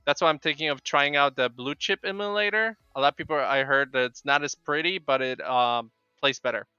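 A middle-aged man with a Polish accent chats casually with viewers while gaming, in a halting way that often repeats words. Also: a little high, sharp tone, clean tone, no room sound, faint music behind. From Poland. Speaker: game streamer. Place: small home room with bookshelves. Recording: dull, lo-fi microphone sound.

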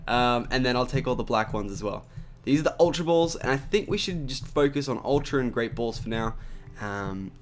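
A young man with an Australian accent, his voice raspy from just waking up, chatting casually over gameplay with lots of fillers. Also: medium pitch, animated, normal speed, a little hiss or hum. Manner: chatty, rambling with many "like" and "um" fillers. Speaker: gaming YouTuber.

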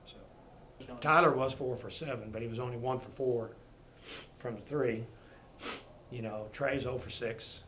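A man's voice: mid-range voice, fairly even intonation, a little slow, pushed and bright, a little hiss or hum. A middle-aged man, a head basketball coach, speaking bluntly at a postgame press conference, disappointed and often restarting and repeating himself. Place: press conference room before a sponsor backdrop.

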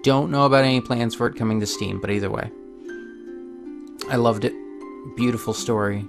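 A man's voice: mid-range voice, brisk, creaky, over music. A man hosting a video game playthrough, commenting on the game and reading its story text aloud. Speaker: let's play host.

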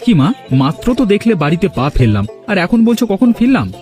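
A man's voice: high-pitched, big pitch swings, fast, dark tone. A man voicing a devoted, protective young son in a fairy-tale cartoon, questioning eagerly and offering reassurance. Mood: teasing, cheerful. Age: young adult. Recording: music behind the voice.

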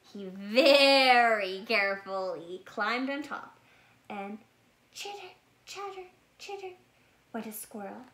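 A woman reads a children's story aloud, expressively and with deliberate enunciation. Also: a little high, animated, a bit bright. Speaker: storybook reader. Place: small plain room indoors.

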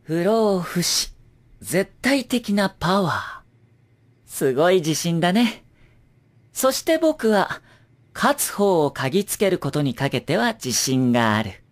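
A woman's voice: lowish voice, big pitch swings, takes it slowly. A woman playing a sly, playful teenage boy informant in an anime audio drama, breezy, teasing and knowing.

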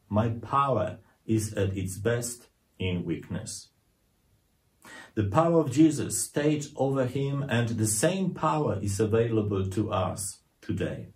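A middle-aged male priest gives a homily in a fairly low voice. He speaks very slowly and deliberately, with an earnest, measured delivery, as if reading a prepared sermon to camera. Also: darkish tone.

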